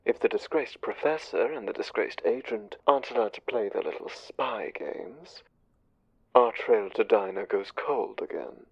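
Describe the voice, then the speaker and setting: Audio drama spymaster angel man acting: husky, low, commanding, condescending, slyly menacing. Dry, muffled sound. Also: dry recording. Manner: measured, condescending, deliberate menace. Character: conniving, smooth, commanding spymaster angel, male. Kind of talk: audio drama roleplay, one side of a conversation.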